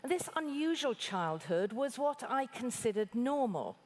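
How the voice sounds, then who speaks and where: A woman from Iran, a bishop, delivering a formal prepared keynote address in a measured, articulate way. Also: on the high side, very animated, a little mellow.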